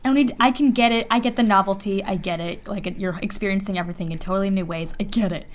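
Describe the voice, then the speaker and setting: A woman's voice: medium pitch, fast talker. A woman co-hosting a podcast with casual comedic banter, riffing wryly and with exasperation in slangy language. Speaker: podcast co-host.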